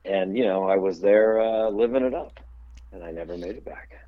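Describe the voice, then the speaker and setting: A man's voice: deep voice, moderate pace, no room sound, phone-quality sound. A middle-aged man, a guest on an interview show, telling easygoing, rambling anecdotes about growing up. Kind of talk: casual storytelling interview.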